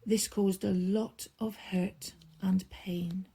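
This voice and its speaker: A woman storyteller reading a children's bedtime story aloud, expressively, with drawn-out emphasis and hushed drops. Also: mid-range voice, steady tone, vocal fry, breathy edge.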